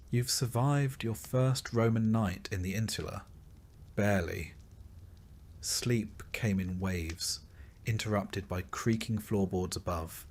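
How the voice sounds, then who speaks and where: A man's voice: on the low side, a little mellow. A man narrating a scripted story in the second person with a dry, wry delivery.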